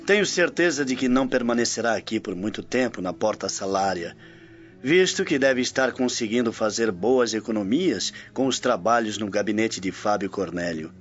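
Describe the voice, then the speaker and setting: A man's voice: on the low side, expressive, normal speed, natural voice. An actor playing a courteous, self-assured Roman nobleman in a Brazilian radio drama, speaking formally. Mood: cordial. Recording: muffled radio drama with music underneath.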